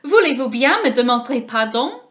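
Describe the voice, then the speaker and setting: A woman voicing an indignant, excitable gentleman. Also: sits high, a little fast, dull audio. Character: excitable French gentleman, man.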